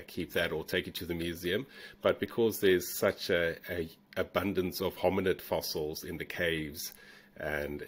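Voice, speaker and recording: A middle-aged man from South Africa with a South African accent blended with a New Zealand one, telling stories in a relaxed, rambling way on a video call. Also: low voice, breathy edge. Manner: relaxed, rambling with fillers and restarts. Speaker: guest, fossil hunter. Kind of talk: casual storytelling interview. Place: home room.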